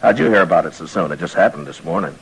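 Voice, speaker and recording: An American actor playing a steady, weary middle-aged US marshal in an old-time radio western, speaking in a measured, laconic way with hesitant pauses. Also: male voice, deep voice, vocal fry, breathy edge, full character voice. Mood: firm, measured. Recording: noisy vintage radio drama recording.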